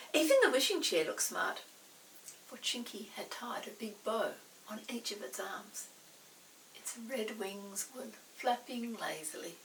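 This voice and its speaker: Animated, unhurried woman storyteller. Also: mid-range voice, recorded from a distance, a little hiss or hum, low-quality recording. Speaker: children's storyteller. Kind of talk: expressive storybook read-aloud.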